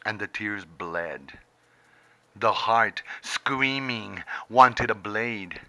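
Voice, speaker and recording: Middle-aged man, forceful sharp voice, very slow delivery, theatrical poetry recital, long pauses, emphatic. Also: lowish voice. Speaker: poet reading own poem. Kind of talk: dramatic spoken-word poetry recital. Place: indoors, small book-lined room. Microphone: handheld camera very close to face.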